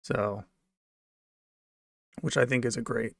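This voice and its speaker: A man's voice: medium pitch, steady tone, takes it slowly, vocal fry, fairly bright tone, no echo, studio quality. An American man talking casually off the cuff as he answers viewers' comments, rambling a little and repeating his phrases. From United States, raised moving around in a military family.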